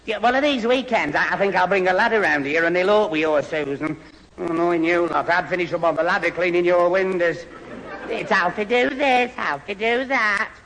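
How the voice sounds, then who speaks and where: Dim, high-pitched lad. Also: male voice, moderate pace, fairly bright tone, some chatter behind. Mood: grumbling. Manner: gormless, whining. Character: dim, hapless, put-upon young man. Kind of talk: 1970s radio sitcom acting. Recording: noisy old radio recording.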